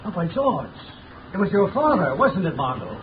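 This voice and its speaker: A suave, wry young gentleman of means, polished and lightly teasing, his voice lively and fairly high, set in a muffled, hissy 1930s radio recording. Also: moderate pace. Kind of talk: 1930s radio drama acting.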